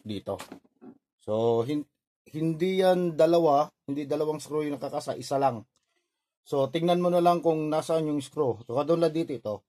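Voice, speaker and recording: A man's voice: a little high, normal speed, dark tone, dry recording. A man with a Filipino accent narrating an informal hands-on repair tutorial in short, step-by-step instructional phrases. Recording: noisy recording with clicks.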